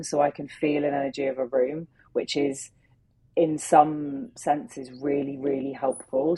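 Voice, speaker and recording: Woman, low gravelly husky voice, earnest thoughtful interview answers, long run-on sentences. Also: mellow, rough recording. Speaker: interview guest, facilitator. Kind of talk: reflective conversational interview answers.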